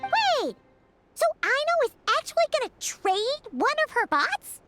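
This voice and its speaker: A woman voicing a tiny, excitable, bold childlike fairy companion in a video game, chirpy and full of exclamations. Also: very high voice, unhurried, heavily acted. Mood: surprised, eager. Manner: chirpy, exclaiming, refers to herself by name. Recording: music behind the voice.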